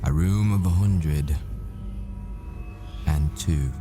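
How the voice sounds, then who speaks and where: A man playing a tender, haunted professor boyfriend in an intimate audio roleplay, speaking in halting, wistful, confessional phrases. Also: rumbling low, heavily acted. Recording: music behind the voice. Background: music.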